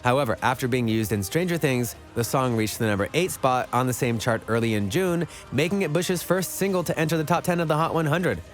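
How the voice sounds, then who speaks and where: A man's voice: medium pitch, fairly quick, few pauses, soft background music. A man reading scripted narration for an online countdown list video.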